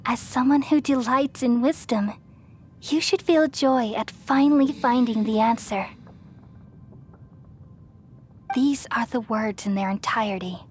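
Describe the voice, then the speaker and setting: A woman playing a gentle, self-sacrificing ancient goddess in a video game, speaking in solemn, measured, poetic phrases. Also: a little high, a little hiss or hum, close to an everyday voice. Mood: soothing. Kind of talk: scripted dramatic game voice acting. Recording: music behind the voice.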